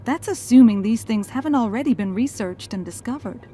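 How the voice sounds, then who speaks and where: A woman's voice: a little high, animated, fairly quick, warm tone. A woman playing a thoughtful, gracious scientist and mother in a fan audio drama. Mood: curious. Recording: faint background noise.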